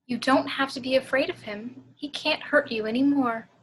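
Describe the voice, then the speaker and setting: A woman voicing a possessed, motherly woman with slow, deliberate, soothing menace in an amateur table read. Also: high-pitched, slightly rough, low-quality recording. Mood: eerily tender. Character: sinister motherly woman possessed by a vengeful ghost.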